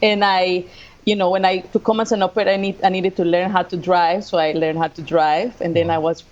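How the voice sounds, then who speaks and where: Woman, lower voice, Costa Rican Spanish accent, conversational interview storytelling, slightly muffled recording. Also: little pitch movement, a little fast, darkish tone. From Costa Rica. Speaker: guest, assistant professor of sports management.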